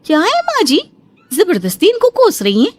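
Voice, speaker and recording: A woman's voice: very high voice, huge pitch swings, moderate pace, soft background music, exaggerated voice. A woman voicing a blunt, bossy young daughter-in-law in a comic family story. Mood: blunt, defensive. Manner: sassy, blunt.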